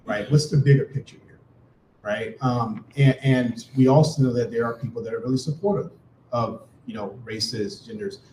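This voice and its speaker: A man's voice: medium pitch, normal speed, darkish tone, a little hiss or hum. A man speaking casually as a panelist, filling his talk with "you know" and "right". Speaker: panelist entrepreneur. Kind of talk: panel discussion answer. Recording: echoey room.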